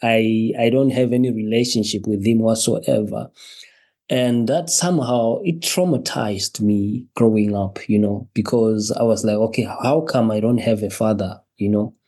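A man from Zimbabwe, a podcast guest telling his personal story in a halting way, repeating words and laughing between phrases. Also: on the low side, mellow.

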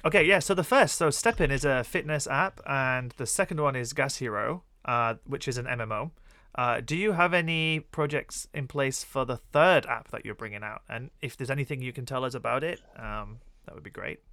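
Male podcast host, northern English accent, chatty and quick to laugh, middle pitch. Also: pushed and bright, no echo, clean studio sound. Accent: Northern English (Yorkshire). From northern England. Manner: friendly, chatty, laughs easily. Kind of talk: casual interview chat.

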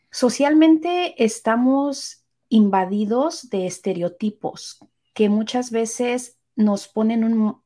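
A woman's voice: medium pitch, mellow. Encouraging woman podcast co-host. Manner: encouraging, articulate, coaching tone. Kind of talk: casual podcast conversation.

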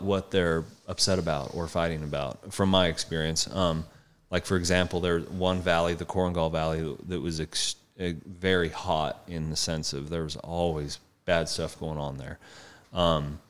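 A man's voice: deep voice, clean tone. A young man, a horse trainer and veteran, telling his story as an interview guest with plenty of fillers and restarts. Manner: halting, with many fillers and restarts. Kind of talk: casual conversational storytelling.

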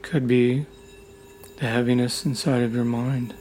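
A man leading a guided meditation in a soothing, deliberate way, leaving long pauses between short phrases. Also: medium pitch, steady tone, slight background noise. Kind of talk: guided meditation, gentle instruction. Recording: loud humming meditation tones throughout. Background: gentle music with sine tone and singing bowls.

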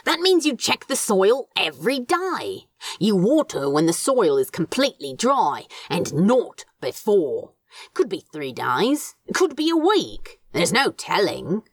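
Woman voicing a sneering demon man with lively swings. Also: mid-range voice, a little slow, no echo, clean studio sound. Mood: exasperated, gruff. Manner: sneering, growling, exasperated. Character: scornful male demon scolding houseplants, grumbling and menacing.

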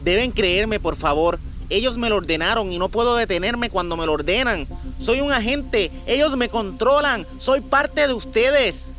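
A man's voice: sits high, lively intonation, normal speed, a bit bright. An actor playing a desperate, deluded man who believes he is a programmed killer, pleading and repeating himself in a Spanish-language radio drama. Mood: frantic, insistent.

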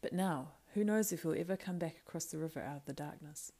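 A woman's voice: sits low, fast, dark tone. A woman voicing a loyal male soldier on guard, grave and fervent, with solemn, impassioned, archaic speech in a storybook reading. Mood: grim, dismayed.

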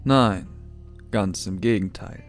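Man acting a calm, low-voiced scientist over music. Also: slow pace. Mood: calm, cautioning. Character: kindly, sad, cautious male scientist doctor. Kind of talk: audio horror drama dialogue.

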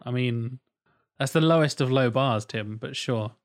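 A man's voice: mid-range voice, a little slow, no echo. Man, dry room. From Norfolk, England. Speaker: podcast co-host. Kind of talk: casual podcast chat.

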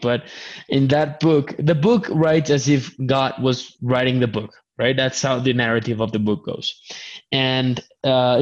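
A man's voice: mid-range voice, darkish tone. A man from Colombia with a Colombian Spanish accent, hosting a casual online chat over a video call and often stammering into restarts. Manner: chatty, stammering restarts, self-deprecating. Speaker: host. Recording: dull lo-fi video call audio.

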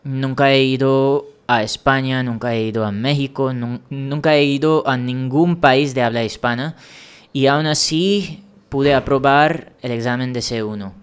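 Guy from india doing a solo learning podcast, talks real slow, smooth clear voice, encouraging. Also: medium pitch. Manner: slow, measured, encouraging explanations with small restarts. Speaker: language-learning podcast host. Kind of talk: solo podcast monologue giving advice.

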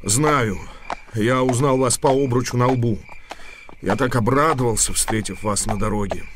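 A man's voice: mid-range voice, moderate pace, close to an everyday voice. Actor as timid bookish man, noisy background. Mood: wary, sad. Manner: deferential, bitterly ironic. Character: timid, bitter bookish commoner, gentle and wary. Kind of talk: radio drama monologue and dialogue. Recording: radio play with noisy background.

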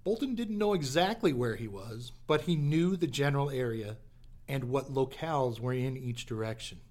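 A man's voice: medium pitch, expressive, moderate pace, darkish tone. A man narrating an adventure radio drama in a theatrical, scripted storytelling manner.

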